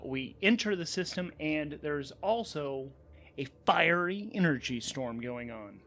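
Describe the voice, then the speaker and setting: Higher-voiced man narrating a tabletop game. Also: a bit bright, slight background noise. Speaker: host, narrator and game master. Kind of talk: solo tabletop game narration, thinking aloud. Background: background music.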